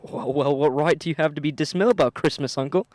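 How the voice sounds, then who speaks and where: A man's voice: fairly high pitch, fast, darkish tone, no room sound, studio quality, lightly acted. A man playing a cheerful, good-natured nephew in a radio play, speaking in a coaxing way. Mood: gently coaxing. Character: merry, good-natured younger nephew of a miser.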